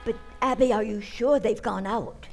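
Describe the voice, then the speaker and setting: A woman's voice: fairly high pitch, normal speed, loud music behind the voice, lightly acted. A woman playing a prim, hesitant elderly aunt in a radio comedy. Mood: concerned. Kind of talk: old-time radio comedy acting.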